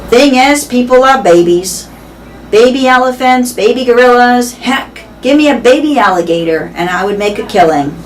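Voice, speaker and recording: A woman's voice: fairly high pitch, expressive, normal speed, pitched above the performer's own voice, close to an everyday voice, poor audio. A woman reading a storybook aloud as a greedy, bullying, folksy showman. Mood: boastful. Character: gruff, greedy, bullying circus-mall man. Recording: background noise.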